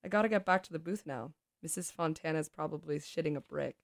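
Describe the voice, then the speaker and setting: A brash teenage girl, teasing and streetwise, turning a little snotty, as a woman reads her lines aloud. The voice sits low and slightly gravelly, heard close and dry. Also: quick pace, dry recording.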